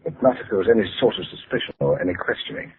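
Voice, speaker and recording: An actor in an old radio drama playing a cold, controlling father who threatens in a formal, measured way. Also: lowish voice, animated. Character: cold, controlling father calmly blackmailing at gunpoint. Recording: noisy, tinny old radio recording.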